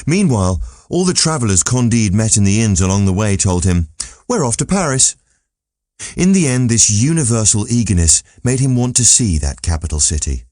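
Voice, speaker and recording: Low-voiced man narrating an audiobook. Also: big pitch swings, a little fast, hardly any pauses, mellow, dry recording, clean studio sound. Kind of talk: scripted literary narration.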